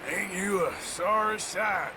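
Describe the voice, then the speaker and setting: Sardonic American outlaw cowboy, noisy background. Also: male voice, high-pitched, takes it slowly. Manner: dry, sarcastic. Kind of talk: video game cutscene acting.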